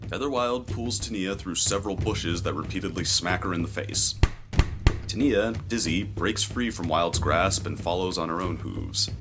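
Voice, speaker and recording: A man narrating a scripted adventure story in a measured, descriptive, dramatic storytelling style. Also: sits low. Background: music.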